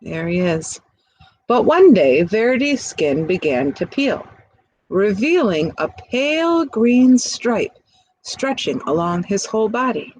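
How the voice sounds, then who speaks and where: A woman reading a picture book aloud expressively, pausing to chat to young listeners with questions and asides. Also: medium pitch, big pitch swings, darkish tone, poor audio. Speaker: storybook reader.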